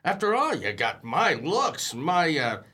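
A man's voice: a little high, very animated, a little slow, sharp tone, slightly rough, heavily acted. An actor playing a bumbling middle-aged working-class father in a radio comedy, stammering and folksy, easily flustered. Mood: flustered persuading. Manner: stammering, folksy ungrammatical speech. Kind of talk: old-time radio situation comedy acting.

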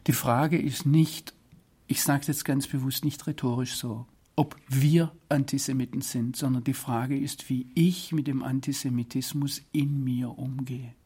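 A man from Swabia, giving earnest, deliberate interview answers. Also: medium pitch, steady tone, dark tone. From Swabia, Germany. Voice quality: husky. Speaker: interview guest.

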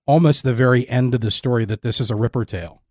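A man's voice: medium pitch, fast, a little mellow, dry recording, studio quality. A man hosting a podcast interview in a conversational style.

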